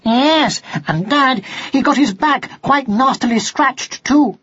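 A man voicing an eager, earnest and excitable young male cat in a children's storybook. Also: very high voice, slow pace, breathy edge, no echo, highs cut off, pitched above the performer's own voice, full character voice. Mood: excited.

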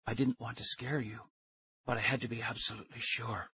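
A man voicing a small, secretive old scientist with a thin, breathy, hushed voice and a measured, earnest way of talking. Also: mid-range voice, flat delivery, no echo, lo-fi sound, heavily acted. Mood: hushed, insistent.

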